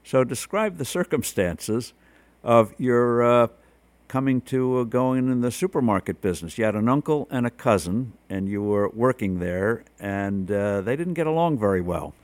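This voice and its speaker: A man's voice: medium pitch, animated, a little mellow, no echo. Male host, dry studio sound. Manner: measured, conversational questioning. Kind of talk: political commentary and interview.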